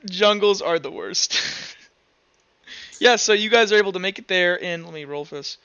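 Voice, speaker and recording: A man improvising narration as game master of a tabletop roleplay session, halting and thinking aloud as he goes. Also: sits high, sharp tone, clean tone, lo-fi sound.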